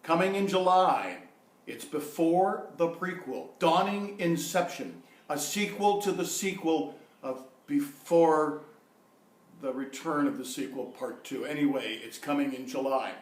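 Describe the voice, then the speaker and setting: A man's voice: on the high side, a little slow. A man giving a theatre company's closing announcement and thanks. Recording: echoey event hall.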